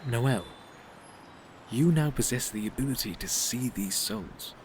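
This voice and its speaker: An actor playing a polite, unflappable, eccentric reaper in an audio drama, speaking formally and with fussy precision. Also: male voice, medium pitch, expressive, darkish tone, a little hiss or hum, lightly acted, low-quality recording. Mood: composed, explanatory. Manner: formal, measured, fussily precise.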